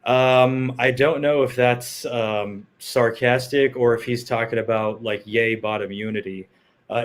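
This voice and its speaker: Blunt, opinionated man co-hosting a chat. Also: on the low side. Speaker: co-host. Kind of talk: casual livestream chat. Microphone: home setup over internet call.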